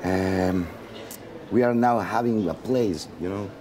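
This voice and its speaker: A man from Mexico with a Mexican Spanish accent, speaking English as a second language in a casual interview and often pausing to search for words. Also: sits low, a little mellow, slight background noise. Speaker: actor, interview guest.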